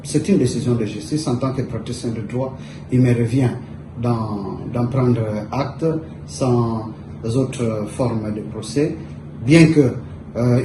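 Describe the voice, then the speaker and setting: A man giving a statement to the press, deliberate and pausing with fillers. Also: medium pitch. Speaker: member of parliament. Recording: echoey room with background noise.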